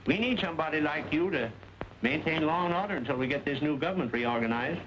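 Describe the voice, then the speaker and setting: A man's voice: on the high side, fast talker, close to an everyday voice. An actor playing a polite, folksy townsman in an old Western, speaking a little hesitantly. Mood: neighborly. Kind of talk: old Western film acting. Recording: old soundtrack with clear hiss.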